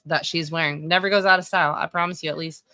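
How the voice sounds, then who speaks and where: A woman in her thirties with a Texan accent talks through her opinions in a loose, conversational way, often stopping to correct herself. Her voice sits on the low side. The recording is dry and close, with no room sound. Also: steady tone, fast talker, bright, forceful tone, no room sound. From Texas. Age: 30s. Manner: chatty, opinionated, self-correcting. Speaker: streamer reviewing wrestling fashion. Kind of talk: casual live-stream commentary.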